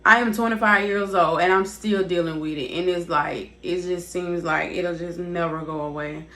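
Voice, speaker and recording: A woman's voice: fairly low pitch, steady tone, normal speed, a bit bright. A woman of twenty-five talking candidly in a personal vlog, casual and rambling, repeating herself and leaning on fillers, recorded on her device's built-in mic rather than a proper microphone. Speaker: vlogger. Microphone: device's built-in mic, not her usual microphone.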